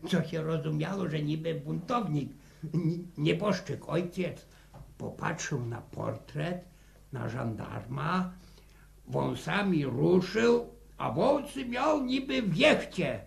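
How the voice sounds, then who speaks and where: A creaky, high-voiced old village grandfather. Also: lively intonation, takes it slowly, exaggerated voice. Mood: amused, nostalgic. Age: older. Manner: rambling, repeats words, folksy. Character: chatty, wily old village grandfather, fond of tales. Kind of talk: 1960s Polish radio drama acting.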